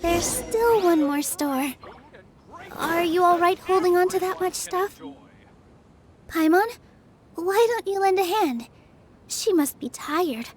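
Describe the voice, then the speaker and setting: A woman voicing a kind, gentle young dancer who speaks politely and reassuringly, in video game dialogue. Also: very high voice, lively intonation, slow, long pauses, a crowd in the background, exaggerated voice. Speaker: theater dancer. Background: game music.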